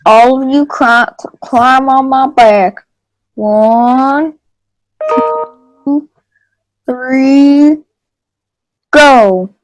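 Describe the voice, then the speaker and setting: A plain-spoken woodland critter acted by a woman in a children's play. The voice is slightly high and very unhurried, with a dry, close sound. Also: no room sound, faint music behind, low-quality recording. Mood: firm, helpful. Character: plain-spoken animals: timid tiny squirrel, big helpful bear. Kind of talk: readers theater for children.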